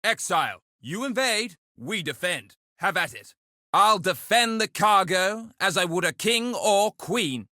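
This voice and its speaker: A man voicing a cocky, chivalrous duelist in a video game, throwing out short, sporting challenges and gentlemanly banter. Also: high voice, animated, a little slow, no room sound, studio quality, full character voice. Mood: cocky, eager. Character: cocky, chivalrous male duelist eager for a scrap.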